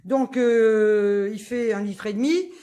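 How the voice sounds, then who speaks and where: A woman's voice: on the high side, slow pace, mellow. A woman, chatting casually through a grocery haul, full of fillers and asides. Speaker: vlogger.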